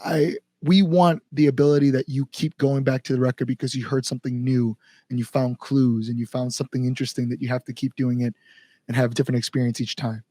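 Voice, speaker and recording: An American man chatting casually as an interview guest, telling stories in an animated, informal way. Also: mid-range voice, fast talker, no echo. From Chicago area, United States. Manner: animated storytelling, quotes people, frequent "like", informal swearing. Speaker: band singer, interview guest. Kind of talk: casual podcast conversation.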